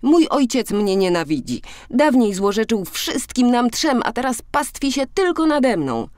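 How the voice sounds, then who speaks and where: A woman's voice: fairly high pitch, very animated, measured pace, a little mellow, dry recording, studio quality, voice pushed up. A woman voicing a miller's youngest son, a mistreated, determined boy, earnestly in a storybook reading. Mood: sorrowful, resolute.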